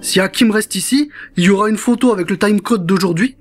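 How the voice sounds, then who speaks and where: Guy playing excitable scheming young geek in an audio drama, high voice, slangy and pushy. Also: quick pace, soft background music, natural voice. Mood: bossy, scheming. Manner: slangy, eager, bossy. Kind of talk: amateur radio drama, slangy French youth dialogue.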